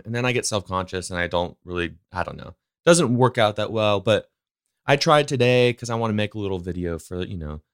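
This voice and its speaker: Smooth-voiced man rambling casually. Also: fairly low pitch, a bit bright, no echo, clean studio sound. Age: 30s. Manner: rambling, slangy, upbeat, swears freely. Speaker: podcast host. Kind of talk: casual solo podcast monologue.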